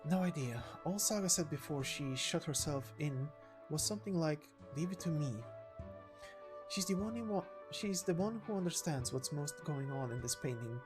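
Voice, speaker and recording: A woman performs an easygoing fighter from a game story. The talk is casual and blunt, in a slightly low voice with music clearly behind it. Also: lively intonation, moderate pace. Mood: matter-of-fact. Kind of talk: game story character voicing.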